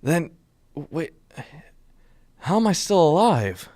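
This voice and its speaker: A man playing a desperate suburban everyman hero in a radio drama, with a husky, strained delivery. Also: a little high, big pitch swings, slow, a little mellow, no room sound, voice pushed up. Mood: dazed.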